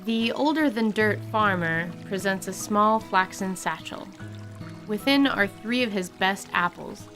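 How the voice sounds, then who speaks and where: A woman narrating a scripted tabletop radio drama in an even, descriptive way, over music. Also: mid-range voice, a little slow. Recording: clear music bed under voice.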